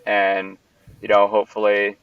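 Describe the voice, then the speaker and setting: A man's voice: fairly low pitch, monotone, normal speed, clean tone, dry recording. A man from Puerto Rico, a venue owner, answering interview questions in a rambling way with many fillers and restarts. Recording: distant, dull, lo-fi mic.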